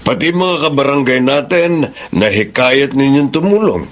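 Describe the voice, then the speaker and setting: A man's voice: mid-range voice, expressive, measured pace, close to an everyday voice. An actor playing a friendly, approving village chairman in a radio drama. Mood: cheerful.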